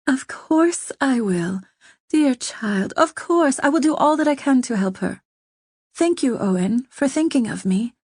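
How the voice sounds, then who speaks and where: A frightened noblewoman pleading in trembling whispers, put on by a woman narrating an audiobook. The voice rides high and strained. The recording is dry and close. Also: animated, measured pace, darkish tone, husky, dry recording, full character voice. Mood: grateful. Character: frightened, desperate noblewoman, grief-stricken and pleading. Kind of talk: audiobook reading.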